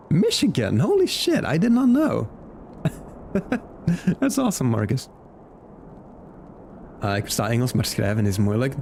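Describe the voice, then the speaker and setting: A young Flemish man, a native Dutch speaker, streaming a game and chatting with viewers, animated and laughing often. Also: slight background noise. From Belgium. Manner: animated, laughs often, excitable pitch jumps. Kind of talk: casual live-stream chat reading comments.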